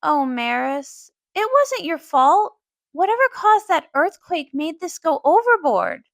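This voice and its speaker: A woman voicing a warm-hearted, emotional young female pony in a cartoon comic dub, expressive and casual. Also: high-pitched, measured pace, gravelly, dry recording, clean studio sound, full character voice. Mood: caring. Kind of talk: animated comic dub voice acting.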